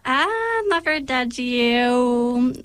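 A young woman from New Zealand, a native English speaker, answering interview questions hesitantly, often pausing to search for words and laughing lightly. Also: high-pitched, big pitch swings, a bit bright. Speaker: guest.

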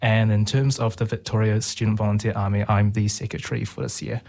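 A man's voice: on the low side, flat delivery, fast talker, gravelly, a little mellow. A young man, a student guest answering interview questions in careful, formal, wordy phrases in a studio. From New Zealand. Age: young adult. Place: radio studio.

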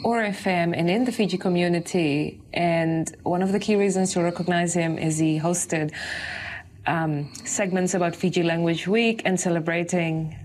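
A woman's voice: on the low side, normal speed, darkish tone. A woman hosting a community radio interview, asking questions and encouraging her guest. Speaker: radio host.

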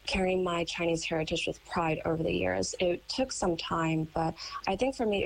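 A young woman with an American accent, a painter and writer answering interview questions in a thoughtful, measured way with frequent pauses. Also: fairly low pitch, rough recording. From United States. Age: young adult.

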